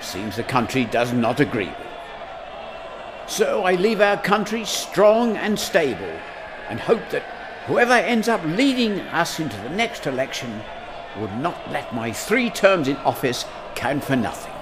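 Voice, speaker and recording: A man's voice: fairly high pitch, sing-song, measured pace. A man playing an embattled, defiant Prime Minister, making a formal, declamatory political speech in a radio drama. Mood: solemn, defiant. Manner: formal, declamatory, self-justifying. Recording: noisy chamber with crowd murmur.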